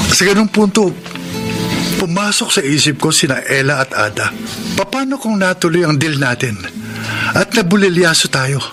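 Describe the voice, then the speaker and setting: A man playing a remorseful working father in a radio drama, earnest and emotional, with music underneath. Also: fairly high pitch. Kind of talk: classic radio drama acting.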